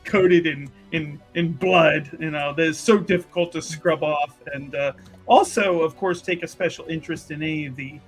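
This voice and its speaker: A man's voice: on the high side, fairly even intonation, moderate pace, husky, faint music behind, low-quality recording. A man improvising narration of his character's actions in a tabletop roleplaying game, hesitant and wordy with flowery phrasing.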